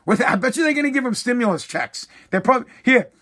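A man's voice: very high voice, expressive, brisk, pushed and bright. A man hosting his own podcast, ranting bluntly and heatedly with swearing while he is losing his voice. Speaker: podcast host.

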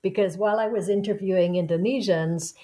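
A woman in her sixties, a professor being interviewed as a guest, telling her story in a reflective, unhurried way. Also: mid-range voice, steady tone, dark tone, rough recording. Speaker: guest author, anthropology professor. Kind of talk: conversational interview answer.

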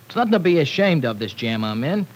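A tough, slangy young street kid. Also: male voice, fairly high pitch, big pitch swings, quick pace, a little hiss or hum, low-quality recording. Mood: bitter. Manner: slangy tough-guy talk, sarcastic and bitter. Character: tough streetwise young man from a city gang, sore and defensive. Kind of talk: 1940s radio acting.

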